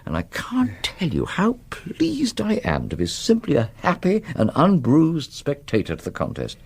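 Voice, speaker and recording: An actor playing a genteel middle-aged British academic in a radio drama, drily witty, courteous and a little hesitant. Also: male voice, on the high side, huge pitch swings, normal speed, vocal fry, slightly rough, full character voice. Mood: wry, reassuring. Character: genteel, wry middle-aged male academic declining a contest.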